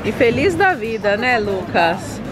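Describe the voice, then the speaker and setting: Woman, 30s, travel vlogger; high, bubbly chatter; street crowd audible. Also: very animated, unhurried. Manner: chatty, laughs often, talks to viewers. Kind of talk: casual travel vlog chat. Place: outdoors on a busy city street. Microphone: handheld phone at arm's length. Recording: street crowd and music behind.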